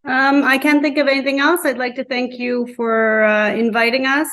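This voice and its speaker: A woman who teaches high school, speaking earnestly and deliberately in a reflective interview. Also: little pitch movement, a bit bright, faint music behind.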